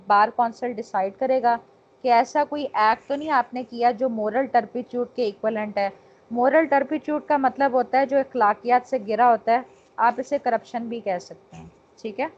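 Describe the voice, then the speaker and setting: A woman with a Pakistani accent giving an instructional law lecture point by point, mixing in English technical terms. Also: fairly high pitch. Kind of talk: exam-prep lecture, explaining point by point. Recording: dull, lo-fi lecture recording.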